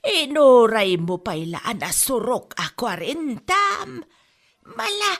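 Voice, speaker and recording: A woman's voice: on the high side, sing-song, slow pace, fairly bright tone, heavily acted. A woman playing a nagging, ailing elderly mother in an Ilocano radio comedy drama, with an Ilocano accent. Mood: prodding. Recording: music under the voice.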